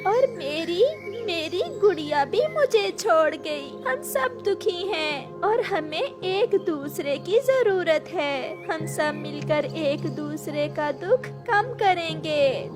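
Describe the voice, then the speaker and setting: A woman voicing a gentle, grieving mother bird who speaks softly and consolingly in a cartoon story. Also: very high-pitched, lively intonation, slow pace, hardly any pauses, voice pushed up, exaggerated voice. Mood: tender. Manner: soft, motherly, pleading. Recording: music behind the voice.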